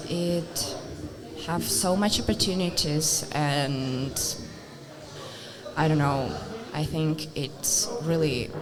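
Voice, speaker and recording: A woman's voice: deep voice, a crowd in the background. A young woman with a Russian accent, a student guest, talking hesitantly with many fillers. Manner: hesitant, halting, many fillers, laughs often. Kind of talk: casual interview chat.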